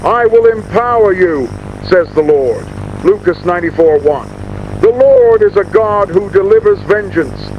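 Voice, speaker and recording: A muffled, faintly noisy recording of a male preacher played by an actor, his voice pitched high. He solemnly declaims scripture in a radio horror drama, grave and menacing, preaching vengeance. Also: huge pitch swings, measured pace, vocal fry, full character voice. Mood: solemn, ominous. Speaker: church reverend. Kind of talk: recorded sermon, scripture reading.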